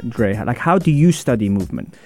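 A man hosting a radio interview show in a studio, asking questions and giving frequent quick agreements. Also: mid-range voice, very animated, a little mellow, dry recording. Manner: affirming, many quick agreements.

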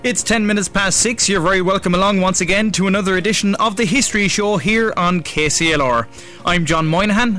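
A man's voice: sits high, a bit bright. A man with an Irish accent presenting a radio programme as host, reading from a script.

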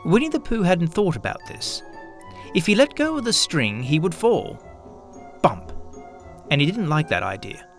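A man narrating a scripted children's story in an expressive, measured storytelling manner. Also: on the high side, soft background music.

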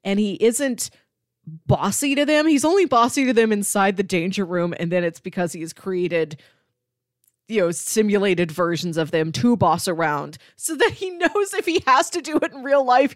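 A woman's voice: mid-range voice, lively intonation, moderate pace, no echo, clean studio sound. A woman co-hosting a podcast, discussing comics casually and articulately, building points in parallel phrases. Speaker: podcast co-host.

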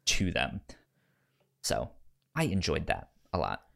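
A man co-hosting a podcast, chatting casually about books in a halting way. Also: low-pitched, very animated, gravelly, fairly bright tone. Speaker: podcast co-host discussing comics. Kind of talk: casual chat, book review.

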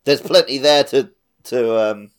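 A man's voice: mid-range voice, a little slow, smooth. A man co-hosting a casual video game podcast, sometimes repeating words as he thinks aloud. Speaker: podcast co-host.

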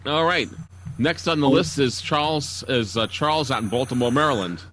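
A man moderates a casual group call. His voice is middle-pitched, with faint noise behind it. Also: normal speed, fairly bright tone.

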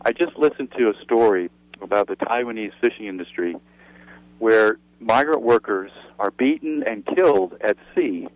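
Male radio caller, low-pitched, indignant, dry. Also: animated, normal speed, no room sound, highs cut off. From Seattle area, USA. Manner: earnest, indignant. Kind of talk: call-in comment on radio.

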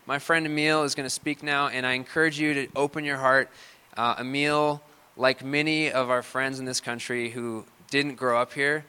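A man's voice: mid-range voice, bright, forceful tone, smooth. A man preaching a sermon in an earnest, conversational way, as if thinking aloud.

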